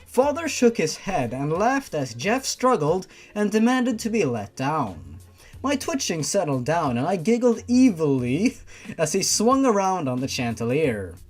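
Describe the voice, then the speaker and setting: A narrator reading a first-person fan fiction story in an expressive, informal way. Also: medium pitch, a little slow. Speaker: story reader. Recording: music behind the voice. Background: music.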